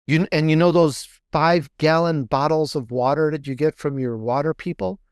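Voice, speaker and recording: A man's voice: a little high, steady tone, moderate pace, clean tone, dry recording, studio quality. A man speaking as an author guest in a casual interview, enthusiastic and emphatic, repeating phrases to drive his point home.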